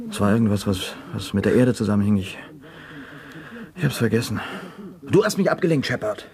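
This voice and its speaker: An actor playing a disillusioned, irritable environmental activist, argumentative and scornful, in a science-fiction radio drama. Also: male voice, mid-range voice, mellow, close to an everyday voice. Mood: irritated. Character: irritable, disillusioned eco-activist living in cramped cabin. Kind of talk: 1970s radio drama dialogue.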